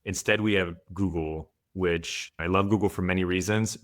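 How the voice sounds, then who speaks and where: A man's voice: sits low, fairly even intonation, normal speed. A man from France, a guest father, giving thoughtful, candid answers in a podcast interview.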